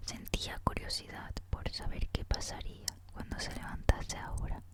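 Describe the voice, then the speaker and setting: Female ASMR reader. Constant breathy whisper, gentle and unhurried, reading prose aloud. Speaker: reader, host of a reading series.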